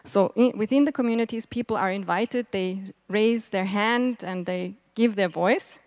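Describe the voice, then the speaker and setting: A woman gives an improvised spoken summary at a conference, speaking in a halting, deliberate way. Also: mid-range voice, a little mellow, no echo. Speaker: session summarizer. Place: conference session, indoors.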